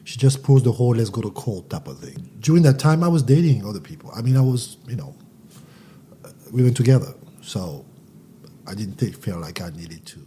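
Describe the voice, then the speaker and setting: A man's voice: medium pitch, expressive, brisk, dark tone, a little hiss or hum. A man from Central Africa, raised in France, speaking candidly and reflectively as a guest, often restarting his sentences. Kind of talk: casual interview.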